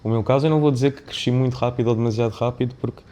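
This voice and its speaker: Mellow-voiced man with European Portuguese accent. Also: medium pitch, steady tone, very fast, muffled recording. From born in Mozambique, of Indian family background. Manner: discursive, often checks with "não é?". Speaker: podcast guest, business founder and CEO. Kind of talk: reflective conversational interview.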